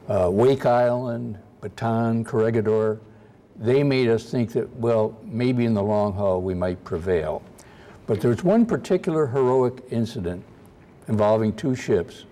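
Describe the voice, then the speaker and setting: Soft-spoken man lecturing with slides. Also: fairly low pitch. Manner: soft-spoken, halting with frequent uhs. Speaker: guest lecturer. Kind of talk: slide-show history lecture. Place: lecture room at a convention.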